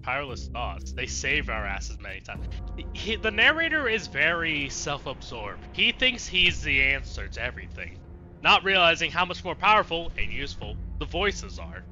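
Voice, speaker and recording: A man's voice: on the high side, huge pitch swings, normal speed, bright, forceful tone. A man giving casual let's play commentary, rambling and chatty as he thinks aloud. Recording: slightly dull sound, faint game music. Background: faint game music.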